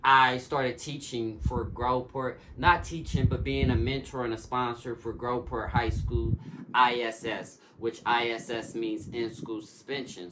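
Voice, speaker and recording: A man in recovery talking about addiction as an interview guest, earnest and emphatic, with preacher-like repetition. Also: on the low side. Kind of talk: casual interview talk. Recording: noisy recording with clicks.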